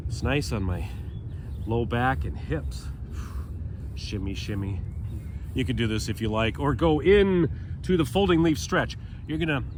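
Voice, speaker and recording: A man leading a stretching routine as fitness instructor, cueing calmly and chatting in between, with a slightly stuffy nose, outdoors. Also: on the high side, noisy background. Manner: calm, chatty cueing with breathy sighs.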